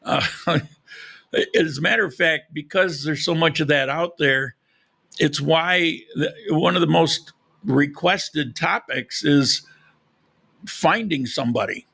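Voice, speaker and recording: A man's voice: fairly high pitch, slow, vocal fry, bright, forceful tone, breathy edge. A man hosting a live podcast, rambling casually with frequent restarts and losing his voice. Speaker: podcast host. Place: lives in Colombia.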